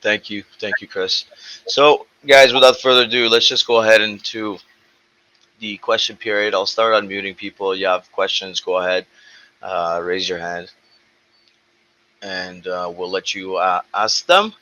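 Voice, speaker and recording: A man hosting an online question-and-answer call, moderating in a brisk, businesslike way. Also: lowish voice, fairly even intonation, bright, forceful tone. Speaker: host running a community Q&A call. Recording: dull lo-fi call audio with faint noise.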